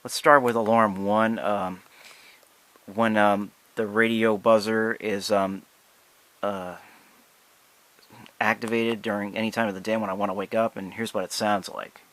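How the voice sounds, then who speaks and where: A young man giving a casual, unscripted product demonstration in a halting, hesitant, apologetic way. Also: fairly low pitch, little pitch movement, fairly bright tone. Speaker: amateur product reviewer.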